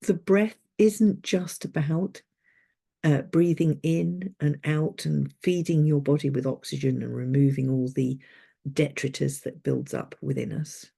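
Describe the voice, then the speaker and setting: Slow, soft-spoken woman guiding sleep meditation. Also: low-pitched, steady tone, gravelly, husky. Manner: soothing, unhurried, gently instructive. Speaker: sleep coach and meditation guide.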